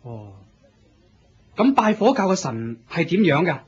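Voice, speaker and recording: A man's voice: fairly high pitch, very animated, a little slow, breathy edge, lo-fi sound, exaggerated voice. A man with a Hong Kong Cantonese accent playing an eager, naive young novice in a radio drama, hesitant, questioning and deferential. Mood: timid, curious. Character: eager, naive young male novice of a fire-worship sect.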